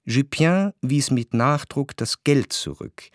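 An actor playing a reflective narrator in a literary radio drama, wry and deliberate in his phrasing. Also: medium pitch, lively intonation, dry recording, studio quality. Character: reflective, wry male narrator recalling what he spied. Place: dry studio.

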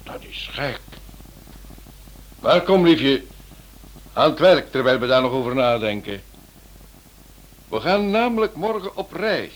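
An animated, sceptical radio detective. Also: male voice, mid-range voice, measured pace, long pauses. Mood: incredulous, then brisk, affectionate. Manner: animated, exclamatory, questioning. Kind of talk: radio play acting. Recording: noisy old radio play recording.

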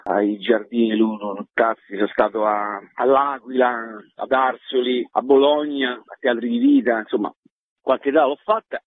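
A man's voice: mid-range voice, no room sound. A man, chatting casually and ramblingly as a theatre performer being interviewed. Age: 50s. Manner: colloquial, rambling. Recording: muffled, phone-like line, husky and dry.

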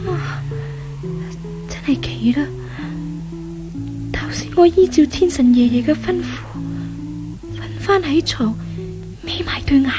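A woman's voice: high voice, takes it slowly, long pauses, whispered, loud music behind the voice. A woman with a Hong Kong Cantonese accent playing a timid, repentant young woman, earnest and theatrical in a 1970s radio drama. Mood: hushed wonder. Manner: earnest, wondering, theatrical phrasing.

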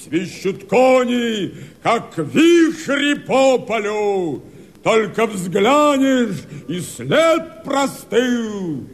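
A man's voice: sits high, very animated, full character voice. A man acting a fiery Cossack rebel rallying the people, declaiming verse in a radio drama. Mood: exalted, proud. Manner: declamatory, slow, drawn-out delivery with shouts.

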